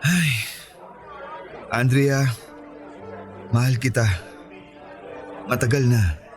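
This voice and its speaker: A man's voice: medium pitch, extremely slow. A man acting a teasing, cocky young man in love with an old friend, in a radio romance drama. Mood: earnest, lovestruck. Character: Ronnie, teasing, cocky, lovestruck young male childhood friend. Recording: background music and crowd chatter.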